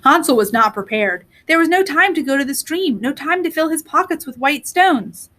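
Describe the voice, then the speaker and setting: A woman reading a children's story aloud in an expressive storytelling style. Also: high voice, normal speed, slight background noise. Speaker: storybook reader.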